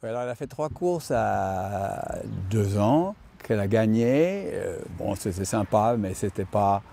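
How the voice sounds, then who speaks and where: An older man who owns and breeds horses, answering interview questions with many pauses and restarts. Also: on the low side, animated, vocal fry, mellow, a little hiss or hum. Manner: hesitant, many fillers and restarts. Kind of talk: interview reply.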